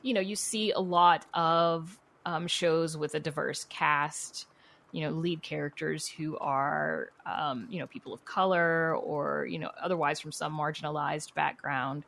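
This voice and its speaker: A woman's voice: low-pitched, steady tone, unhurried, vocal fry, fairly bright tone, slight background noise. A woman speaking as an interview guest in a casual, chatty way, peppering her talk with conversational asides. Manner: chatty, frequent "you know" asides. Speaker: guest, podcast creator and writer.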